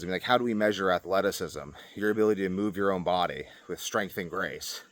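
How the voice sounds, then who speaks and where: Low-voiced man, casual rambling vlog talk. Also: dry recording. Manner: informal, loosely rambling, frequent "like". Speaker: vlogger.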